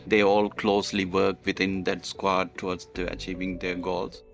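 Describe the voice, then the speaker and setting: A man with a low voice answers interview questions, explaining step by step in a measured way and often pausing on fillers. Light music sits faintly behind him. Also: level tone, creaky.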